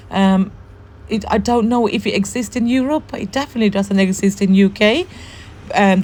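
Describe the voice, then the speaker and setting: A woman with a hoarse, worn voice from the flu, chatting casually and warmly as host of a gardening vlog, with frequent ums. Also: mid-range voice, a little hiss or hum. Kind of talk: casual show-and-tell vlog.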